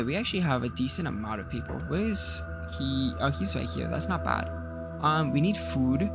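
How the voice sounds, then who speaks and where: Male streamer, tired and slightly rough, casual thinking-aloud commentary, creaky edge. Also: mid-range voice. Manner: rambling, thinking aloud with fillers. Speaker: game streamer. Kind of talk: casual let's-play commentary.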